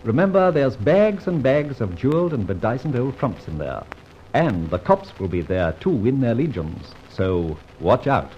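An Australian actor playing a suave, playful gentleman thief in a 1950s radio drama, brisk and theatrical. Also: mid-range voice, very animated, close to an everyday voice. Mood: scheming, playful. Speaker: lead character. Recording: dull old radio recording, faint hiss.

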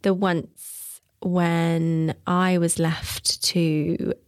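A woman's voice: on the low side, little pitch movement, extremely slow, smooth, no room sound, clean studio sound. A woman in her thirties, from Wales, chatting casually as a podcast guest in a giggly, teasing way. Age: 30s. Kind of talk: casual chat.

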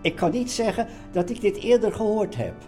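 A man, reminiscing in casual chat, halting and repeating words. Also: sits high, lively intonation, warm tone, faint music behind. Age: 80s. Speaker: elderly father being interviewed.

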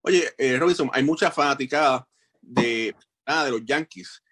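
A man's voice: mid-range voice, moderate pace, a bit bright, husky, soft background music. A man hosting a sports podcast, asking interview questions in a friendly, chatty way with casual fillers.

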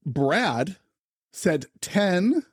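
Playful, animated man hosting a podcast. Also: high voice, drawn-out words, slightly rough, no room sound, clean studio sound. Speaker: podcast host. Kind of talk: casual comedic chat.

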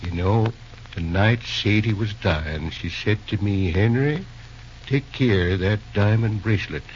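An actor playing a folksy, tender-hearted old railroad engineer in a vintage radio drama, halting and stammering with feeling. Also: male voice, lowish voice, natural voice. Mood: flustered tenderness. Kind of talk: 1930s-40s radio drama acting. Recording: dull old radio recording, faint hiss.

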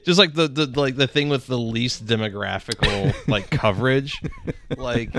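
A man's voice: medium pitch, moderate pace, fairly bright tone. A young man co-hosting a podcast, chatting casually in a rambling, profane, jokey way. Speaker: podcast co-host. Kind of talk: casual chat.

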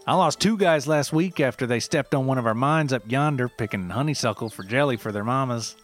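A man's voice: medium pitch, fairly quick, faint music behind, lightly acted. A man voicing a proud, wary male ginseng forager from Appalachia, with a folksy backwoods drawl, in a narrated story. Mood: folksy, deadpan.

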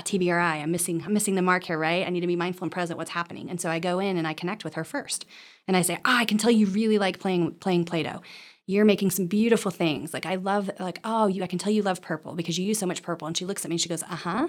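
A woman's voice: on the low side, fast. A woman explaining in a conversational way as a counselor being interviewed, often checking in with "right?" and slipping into example dialogue. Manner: animated self-talk, checks in with "right?". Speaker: counselor or therapist, interview guest.

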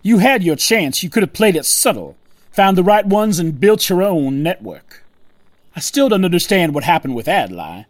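An actor playing an irritable, smug corporate boss on the run in an audio drama, blustering and condescending. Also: male voice, sits high, sing-song, moderate pace, no room sound. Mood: accusing.

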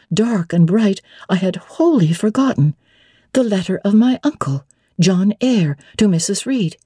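Woman audiobook narrator, husky and dark toned, expressive dramatic reading of an old novel, real dry sound. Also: on the low side, dry recording. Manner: measured, expressive, theatrical. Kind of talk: dramatic scripted literary narration.

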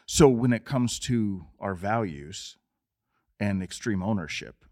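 A man's voice: on the low side, animated, takes it slowly, darkish tone. A man in his fifties hosting an interview, recapping and affirming his guest's points before asking for more. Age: 50s. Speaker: podcast host. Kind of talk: casual interview.

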